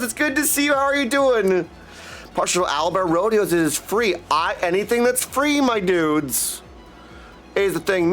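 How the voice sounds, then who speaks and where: Animated high-voiced man chatting on a livestream. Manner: effusive affectionate greetings, often addressing listeners as dudes. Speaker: live streamer greeting chat. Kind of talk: casual livestream chat with viewers.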